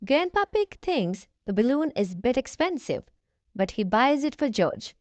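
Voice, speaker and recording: A woman's voice: high-pitched, sing-song, moderate pace, a little mellow, no room sound. A woman reading a storybook aloud expressively for young children, speaking to them directly as the host.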